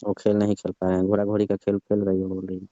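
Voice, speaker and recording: A man plays a young lover in a comic phone skit, sulking and making insistent demands. His voice is deep and dark. The call recording sounds dull and dry. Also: completely flat delivery, quick pace, no echo, lightly acted. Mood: accusing. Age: young adult. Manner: sullen, curt, insistent.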